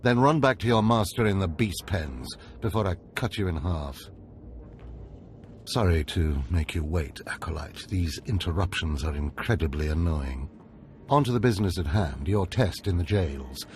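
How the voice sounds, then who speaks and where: Game mentor-villain: stern Sith overseer, lowish imperious voice, light ambient noise. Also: male voice, expressive, normal speed. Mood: irritated, then approving. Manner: imperious, clipped, lecturing. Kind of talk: video game cutscene acting.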